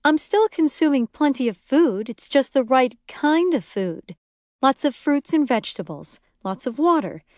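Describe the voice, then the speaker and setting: A woman narrator performs a young mother who is strict and easily outraged. Her voice rises high and snaps with exasperation as she scolds, in the manner of audiobook dialogue. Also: animated, unhurried, darkish tone, no room sound, studio quality. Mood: curt, defensive.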